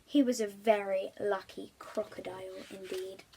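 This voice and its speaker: A woman's voice: mid-range voice, little pitch movement, normal speed, dark tone, a little hiss or hum. A woman reading a children's storybook aloud, expressively, stressing key words.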